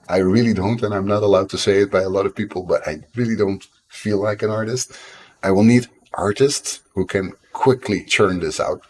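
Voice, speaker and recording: Dutch man, 30s, deep voice; rambling, self-deprecating indie dev streaming from his home desk, slight cough. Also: moderate pace. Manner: rambling, self-deprecating, frequent fillers and restarts. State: coughing now and then. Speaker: game developer streamer. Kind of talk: casual live-stream chat.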